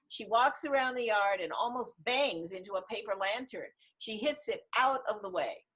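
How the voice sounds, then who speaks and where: A woman's voice: mid-range voice, fairly bright tone, poor audio. A woman narrating the stage directions of a screenplay in a scripted table read over a video call. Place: online video call reading.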